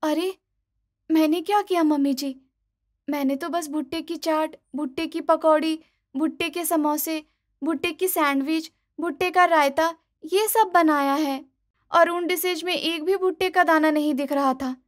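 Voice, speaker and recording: A woman voicing an eager, innocent and self-satisfied young daughter-in-law in an animated moral story. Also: sits high, unhurried. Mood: innocently defensive.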